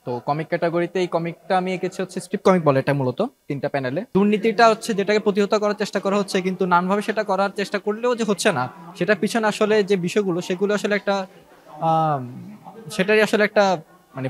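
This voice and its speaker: Quick, high-pitched Bangladeshi man interviewed. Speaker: cartoonist interviewee. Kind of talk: spontaneous interview explanation.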